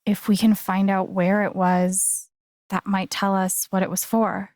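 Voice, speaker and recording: A woman's voice: mid-range voice, level tone, a little slow, darkish tone, no echo, lightly acted. Actress doing a young woman in a story game, takes her time, casual and earnest, caring but determined, really dry recording. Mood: worried, determined. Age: young adult. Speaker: voice actress in a narrative video game. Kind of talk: naturalistic game dialogue.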